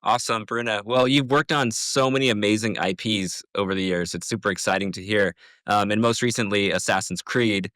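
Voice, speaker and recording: A man's voice: on the low side, brisk, hardly any pauses, a bit bright, no room sound. A man co-hosting an interview podcast, asking questions casually with frequent "like". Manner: casual, uses "like" often. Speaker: podcast co-host.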